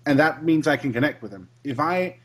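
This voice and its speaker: A man's voice: a little high, expressive, fairly quick. A man who also makes gaming videos, chatting agreeably and reflectively in a casual podcast interview. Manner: agreeable, reflective, often backing the other speaker up. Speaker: fellow gaming video maker.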